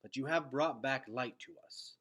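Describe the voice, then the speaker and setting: Man voicing a solemn, measured male soldier. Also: medium pitch, fairly bright tone, natural voice. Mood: grave, firm. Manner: formal, measured phrasing. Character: solemn, firm male soldier of underground kingdom.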